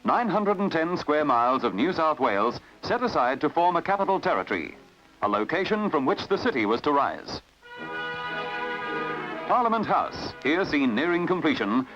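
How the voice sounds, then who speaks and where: A man with an Australian accent narrating a newsreel in a brisk announcer style, on a dull old archival recording with music behind. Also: fairly high pitch. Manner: brisk announcer delivery with lively intonation.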